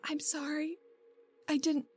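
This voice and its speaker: A woman voicing a flustered, defensive woman adventurer in a tabletop game, with halting excuses and blunt words. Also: sits high, flat delivery, darkish tone, no room sound, faint music behind, voice pushed up.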